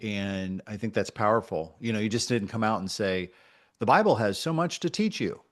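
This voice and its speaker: Male coach, lowish voice, thoughtful and encouraging, conversational feedback with pauses. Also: lively intonation. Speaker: radio coach.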